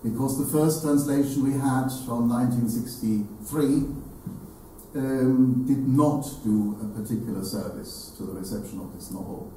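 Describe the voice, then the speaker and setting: An older man giving a formal introductory talk to an audience, in a halting, erudite manner with deliberate phrasing. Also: medium pitch, warm tone. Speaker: speaker introducing a literary event. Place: indoor salon hall with seated audience. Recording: echoey room, distant mic, faint noise.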